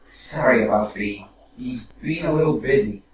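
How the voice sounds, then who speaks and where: An actor playing a casual, flustered robot soldier in an audio drama, hesitant and rambling, recorded roomily from a distance. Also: male voice, medium pitch, normal speed, natural voice. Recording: roomy, distant mic with noise.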